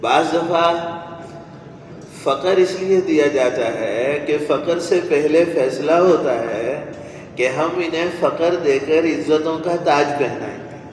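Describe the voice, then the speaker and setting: A man delivers a religious sermon at a very unhurried pace, in a somewhat high voice. He speaks deliberately, pausing and repeating phrases for effect. The sound is distant and reverberant, as in a large hall. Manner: deliberate, with rhetorical repetition and pauses. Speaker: preacher. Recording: echoey hall from far off, noisy.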